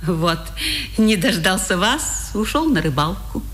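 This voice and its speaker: An actress playing a dignified, steadfast older mother in a 1950s radio drama, measured and dryly ironic. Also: lowish voice, sing-song, fairly bright tone, slight background noise. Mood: guarded defiance.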